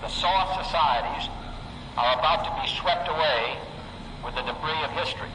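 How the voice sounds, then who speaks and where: A man as an American president giving an emphatic, measured 1960s political speech. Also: fairly high pitch, lightly acted. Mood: grave, emphatic. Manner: oratorical, emphatic, measured phrases. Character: earnest male American president orating on television. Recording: echoey distant broadcast speech with noise.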